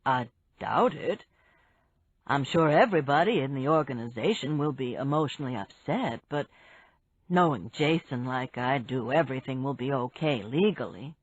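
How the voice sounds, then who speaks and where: A woman voicing a calm, knowledgeable businessman who explains patiently and matter-of-factly in an audiobook story. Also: very deep voice, husky, no room sound, dull audio, pitched below the performer's own voice, exaggerated voice. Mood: patient, assured. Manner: measured, matter-of-fact explaining.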